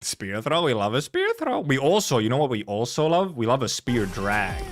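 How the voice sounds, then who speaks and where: Animated male streamer reacting, rambling. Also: medium pitch, moderate pace, a little hiss or hum. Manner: rambling, repeats himself, playful, swears casually. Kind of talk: casual game trailer reaction commentary. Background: faint music.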